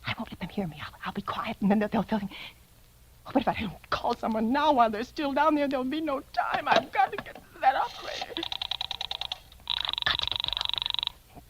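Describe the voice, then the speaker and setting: An actress playing a nervous, demanding invalid wife alone at night in an old radio thriller, stammering and breathless. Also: female voice, high voice, a little fast, fairly bright tone, a little hiss or hum, poor audio. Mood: hushed terror. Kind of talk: 1940s radio thriller acting. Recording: vintage radio drama recording.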